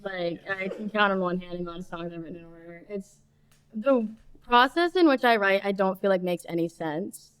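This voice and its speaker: A young woman, a songwriter guest in a podcast interview, speaking in an easygoing way with laughs and soft trailing endings. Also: medium pitch, brisk, smooth, rough recording. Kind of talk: casual conversational interview.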